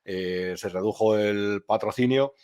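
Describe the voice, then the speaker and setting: A man's voice: fairly low pitch, unhurried, a little mellow, smooth. A man from Spain, a journalist giving frank, opinionated answers in an interview, often hesitating and restarting. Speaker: journalist and novelist guest.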